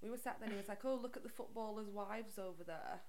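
A woman's voice: medium pitch, fast. A woman from Liverpool co-hosting a casual chat podcast, telling stories and swearing freely. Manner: chatty, swears freely, lively storytelling. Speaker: podcast co-host.

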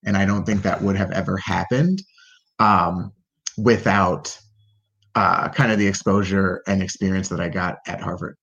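Deep husky guy with a gravelly edge, a bit lo-fi, calm and articulate, lots of business talk. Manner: measured, articulate, business jargon. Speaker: podcast guest, business school graduate. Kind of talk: casual podcast interview.

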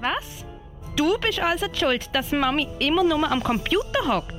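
A woman's voice: high-pitched, big pitch swings, moderate pace, fairly bright tone, soft background music. A woman with a Swiss German accent playing an earnest, hesitant little scout girl in a children's radio play. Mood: curious, bold. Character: plucky, naive little scout girl on a quest.

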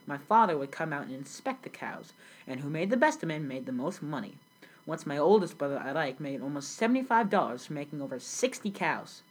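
A girl playing a husky-voiced immigrant storyteller. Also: low-pitched, big pitch swings, fairly quick, exaggerated voice, low-quality recording. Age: young teen. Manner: earnest storytelling, read from a script. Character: grown immigrant recalling a South Sudan childhood. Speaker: student playing the interviewee. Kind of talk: school project role-play interview.

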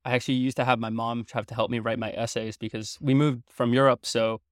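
A man's voice: medium pitch, little pitch movement, fast talker, dry recording, studio quality. A young man from Belgium, a native French speaker, talking as a guest in a casual interview chat, earnest and explanatory, with a casual manner full of fillers and restarts.

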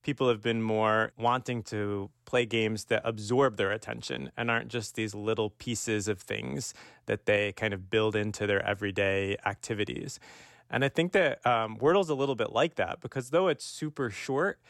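Lowish academic man, explaining. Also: steady tone, moderate pace, creaky, fairly bright tone, no room sound, clean studio sound. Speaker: media studies professor. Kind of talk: interview answers.

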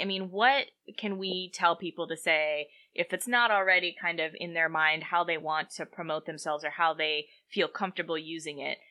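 Woman, podcast host, engaged, rambling questions, bright tone. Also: fairly low pitch. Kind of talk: casual podcast interview.

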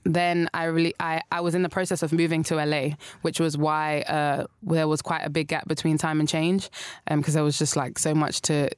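A woman's voice: sits low, little pitch movement, normal speed, clear, resonant tone, dry recording, studio quality. A young woman from South London with a London accent, chatting casually as a guest, hesitant and full of restarts. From South London, England. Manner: hesitant, many restarts and fillers, laughs easily. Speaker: guest singer. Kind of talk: casual interview chat.

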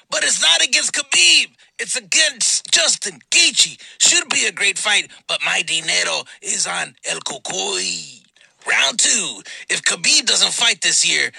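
A man voicing a brash male fight-talk segment host, with a gravelly voice and a shouted, profane, punchy countdown delivery. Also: very high-pitched, no echo, pitched above the performer's own voice, heavily acted.

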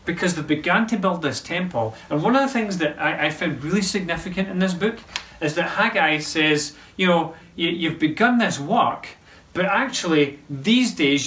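A man's voice: a little high, a bit bright. Male pastor, earnest sermon delivery, distant mic in an empty church hall. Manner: earnest preaching with emphatic rises. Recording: roomy echo, voice from far off.

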